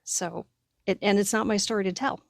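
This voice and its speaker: A woman's voice: medium pitch, steady tone, normal speed, creaky, no room sound, studio quality. A woman co-hosting a podcast, chatting casually about writing with a wry manner. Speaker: podcast co-host and writer. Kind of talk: casual conversational discussion.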